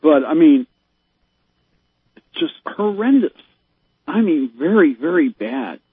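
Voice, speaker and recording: A man calls into a show and speaks in an exasperated, emphatic way, sighing as he goes. His voice sits fairly high and sounds husky. It comes through a tinny, dry phone line. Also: animated, slow, dry recording. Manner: exasperated, emphatic, given to sighs. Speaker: caller. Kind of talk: casual phone chat.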